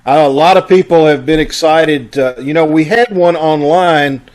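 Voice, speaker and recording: A man's voice: fairly high pitch, moderate pace, darkish tone. A man hosting an amateur theater troupe, speaking in a folksy, conversational way over a video call. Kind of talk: informal announcements to an online audience.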